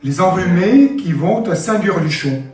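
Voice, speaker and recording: Hoarse man telling a folk tale. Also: sits high, unhurried. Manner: lively, animated storytelling. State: hoarse, damaged voice. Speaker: storyteller at an open stage. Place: live open-mic stage in a hall. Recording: very echoey hall from far off, faint guitar.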